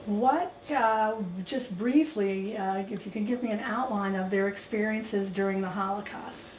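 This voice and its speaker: Woman interviewer, mid-pitched, formal questioning, echoey room, off-mic. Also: unhurried, a little mellow. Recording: roomy, distant mic with faint noise.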